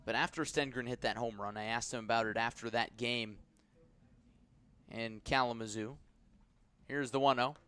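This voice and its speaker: A man calling a baseball game live as a radio play-by-play announcer, with measured, descriptive delivery that lifts when the action picks up. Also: mid-range voice, steady tone, fairly bright tone, smooth. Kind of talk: live sports commentary.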